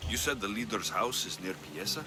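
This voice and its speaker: An actor playing a wry, steady young mercenary in a video game cutscene, speaking. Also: male voice, lowish voice, brisk, noisy background, lightly acted. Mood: dry, teasing. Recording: music bed behind the voice.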